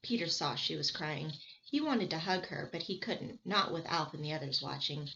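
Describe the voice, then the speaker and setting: A woman's voice: deep voice, expressive, normal speed. A woman reading a children's adventure story aloud like a teacher, expressively, with occasional stumbles. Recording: muffled, with clear background noise.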